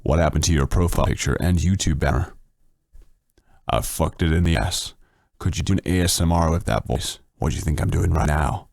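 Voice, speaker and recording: Man doing a low, slow-crooning radio-show seducer, dry and close. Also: gravelly, a little mellow, dry recording, heavily acted. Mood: sultry. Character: smooth deep-voiced male late-night radio host. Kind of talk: joking put-on voice for a livestream. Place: home at night.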